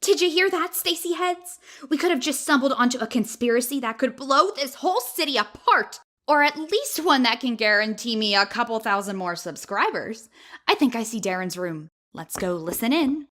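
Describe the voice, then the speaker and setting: A woman's voice: fairly high pitch, lively intonation, normal speed, bright, forceful tone. An actress playing a chirpy, eager teenage girl vlogger who presents to her audience in an audio drama. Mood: intrigued.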